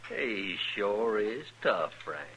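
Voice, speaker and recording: An actor playing a jeering outlaw crony who taunts eagerly, in 1950s radio drama style. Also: male voice, fairly low pitch, big pitch swings, slow, exaggerated voice. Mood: taunting. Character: jeering young male outlaw sidekick. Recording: noisy old radio recording.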